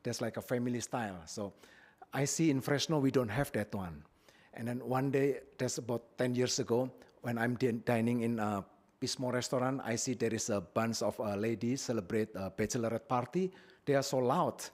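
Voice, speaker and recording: A man originally from Indonesia presenting his business plan at a public hearing, speaking plainly with frequent pauses and fillers into a podium microphone in a council chamber. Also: medium pitch, a little mellow.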